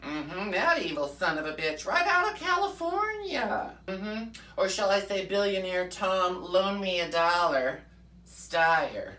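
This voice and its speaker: A woman's voice: mid-range voice, fairly bright tone, echoey room, distant mic, lightly acted. Woman playing a fiery folksy pundit lady. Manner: fiery, preachy, with drawn-out "mm-hmm" asides. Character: brash folksy right-wing woman pundit persona. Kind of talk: comic political rant in character.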